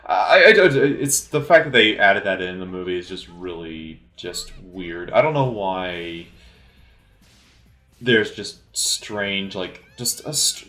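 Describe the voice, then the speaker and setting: A man giving casual, unscripted film commentary in a halting way, often repeating his words. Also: sits low, pushed and bright. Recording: roomy, echoey sound.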